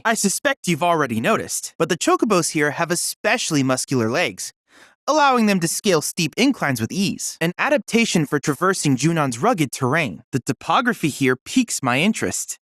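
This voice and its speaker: A man's voice: on the high side, fairly bright tone, no room sound, clean studio sound. Polite, lilting young android boy. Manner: formal, precise, courteous, earnest diction. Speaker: video game research assistant character. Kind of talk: scripted video game character dialogue.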